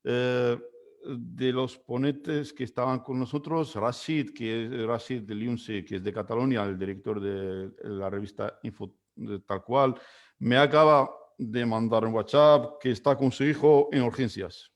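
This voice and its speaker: A man's voice: mid-range voice, unhurried. Hesitant man moderating an online panel. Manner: hesitant, many fillers and restarts.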